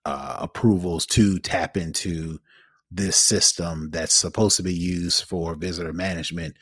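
A man's voice: low voice, fairly even intonation, unhurried, a bit bright, husky, no room sound, clean studio sound. A man co-hosting a podcast with conversational commentary, often circling back to rephrase as he goes. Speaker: podcast co-host.

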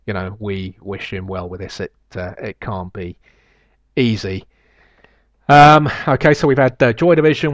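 A man's voice: mid-range voice, no echo, dull audio. A male podcast host musing in a solo monologue and reading listener emails, with a slight lisp, many fillers and restarts. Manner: reflective, rambling, with frequent fillers and restarts.

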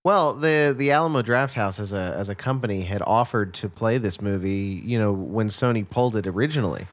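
A man's voice: on the low side, a little fast. A man co-hosting a casual movie podcast, rambling through his points with frequent restarts. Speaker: podcast co-host. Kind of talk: casual chat.

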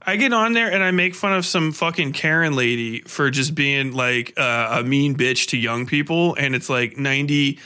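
Bright-voiced man hosting a podcast. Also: mid-range voice, fairly even intonation, normal speed, hardly any pauses, clean tone. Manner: informal, freely swearing. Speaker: podcast host. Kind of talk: casual, joking podcast banter.

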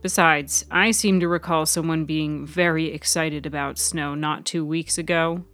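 A woman's voice: sits low, steady tone, unhurried, fairly bright tone, faint music behind. A woman voicing a mild, earnest male shop worker with a dry, understated, teasing way of speaking in an audio story.

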